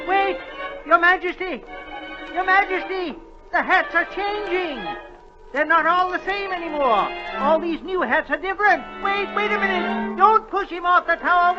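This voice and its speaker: Very high-pitched, flustered courtier. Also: male voice. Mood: frantic. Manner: stammering, painstaking counting, excitable. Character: flustered, fussy male royal counter of hats. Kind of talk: 1940s radio acting. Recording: dull 1940 radio recording.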